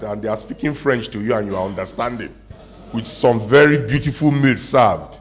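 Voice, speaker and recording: A man, a pastor preaching a conversational sermon to his congregation, often asking them questions and slowing down to stress a point. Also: medium pitch, muffled recording. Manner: conversational, asks the congregation questions, slows for emphasis. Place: church hall with congregation.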